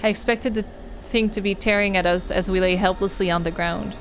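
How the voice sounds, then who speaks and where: A woman game streamer on a livestream playthrough, reading and talking through a visual novel in an expressive storytelling way. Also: mid-range voice, a little fast, a little hiss or hum, rough recording.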